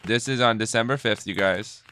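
A man's voice: fairly low pitch, normal speed, pushed and bright, slight background noise. A man co-hosting a movie podcast, chatting casually and cracking jokes. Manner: joking, playful. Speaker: podcast co-host. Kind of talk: casual chat.

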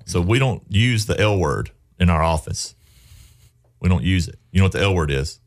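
A man's voice: low voice, animated, normal speed. A man hosting a real estate radio show in a studio, talking in a folksy, persuasive way and asking leading questions. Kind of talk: casual radio talk.

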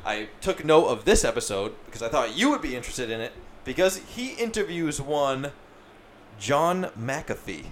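A man's voice: medium pitch, sing-song, normal speed, slight background noise. A man hosting a podcast in casual chat, rambling, swearing freely, with many fillers. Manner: profane, rambling, filler-heavy. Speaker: podcast host.

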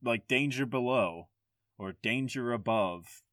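A man's voice: mid-range voice, slow, fairly bright tone, dry recording, clean studio sound. A man on a podcast panel, chatting informally and anecdotally about a video game.

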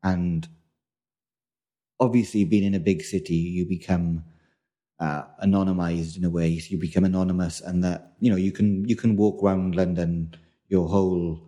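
A man's voice: low voice, steady tone, normal speed, warm tone. A middle-aged man from South Wales co-hosting a casual podcast chat, rambling and restarting as he hunts for words. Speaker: podcast co-host.

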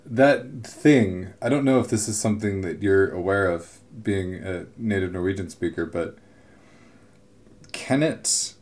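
A man's voice: sits low, unhurried, darkish tone. Young man, casual podcast co-host, wry storyteller. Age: young adult. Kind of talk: casual chat.

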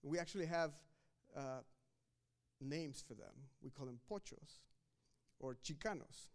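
A man's voice: a little high, a little slow. A man from Mexico, preaching a sermon in a conversational way, often restarting and repeating words. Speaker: pastor.

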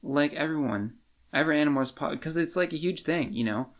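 A man's voice: fairly high pitch, brisk. A man co-hosting a podcast in a casual book discussion, informal and hedging as he thinks aloud. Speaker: podcast co-host.

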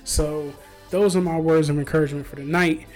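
A man with a voice a bit on the high side hosts a casual podcast. His phrasing is informal and full of slang. Music plays behind him. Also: steady tone, brisk, a little mellow. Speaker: podcast host.

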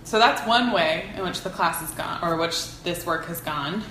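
A woman's voice: fairly low pitch, moderate pace, fairly bright tone, roomy sound, a little hiss or hum, low-quality recording. A woman talking casually and thoughtfully in an interview, searching for her words as she goes. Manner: thoughtful, informal, searching for words in long winding sentences. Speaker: interview guest, folk herbalism teacher. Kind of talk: casual reflective interview talk.

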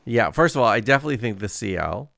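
A male podcast co-host with a gravelly, fry-edged voice, recorded dry and close. He chats in an easygoing, agreeable way, mostly backing up his partner. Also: mid-range voice, sing-song, fast, a bit bright, dry recording. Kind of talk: casual chat.

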